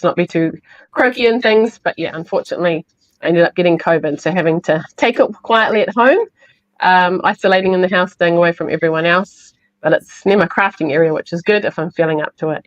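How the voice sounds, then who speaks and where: A woman with a New Zealand accent, sounding croaky from illness, chatting through a live crafting tutorial step by step. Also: on the low side, no echo, lo-fi sound. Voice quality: slightly hoarse. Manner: chatty, explains step by step with fillers. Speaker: craft demonstrator.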